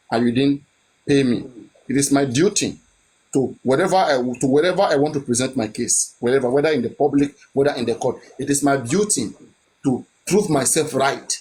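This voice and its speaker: A man's voice: mid-range voice, darkish tone, slight background noise, low-quality recording. A man, giving his opinion in a chat and pressing his points with repeated rhetorical questions.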